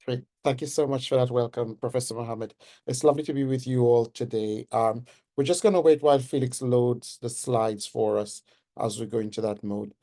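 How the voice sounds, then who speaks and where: A man's voice: medium pitch, fairly even intonation, a little fast, dark tone. A man in his forties with a Trinidadian accent, giving a personal, conversational webinar lecture as a nursing professor. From Trinidad and Tobago. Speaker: guest speaker, nursing professor.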